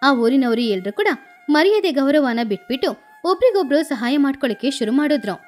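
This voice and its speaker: A woman's voice: high-pitched, very animated, normal speed, darkish tone, soft background music. A woman storyteller reading a scripted moral tale as narration.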